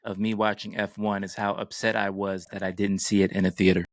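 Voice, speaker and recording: A man's voice: lowish voice, flat delivery, brisk, no room sound, studio quality. A man hosting a podcast, chatting casually and introducing topics. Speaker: podcast host.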